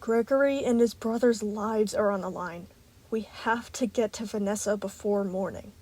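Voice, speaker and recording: A woman's voice: mid-range voice, normal speed, a little mellow, slightly rough, natural voice. A woman voicing a caring, protective male metal bear who comforts and scolds in a story reading. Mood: scolding.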